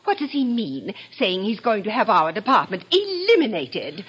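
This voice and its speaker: A woman's voice: mid-range voice, very animated, moderate pace, fairly bright tone, slightly rough. An actress playing a worried, indignant heavenly lady clerk in a 1950s radio musical. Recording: dull 1950s radio recording, faint music.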